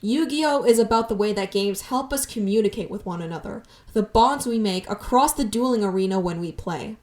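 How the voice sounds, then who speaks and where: Woman in her 20s doing a personal video essay, heartfelt fan talk, kinda wry and self-deprecating, mid pitch. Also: a little fast, a little mellow. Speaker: video essayist and channel host.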